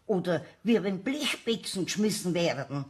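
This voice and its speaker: A woman's voice: lowish voice, lively intonation, normal speed, natural voice. An actress playing a troubled, plaintive old woman with a Bavarian accent, polite and worried, in a radio play. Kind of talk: German radio play dialogue.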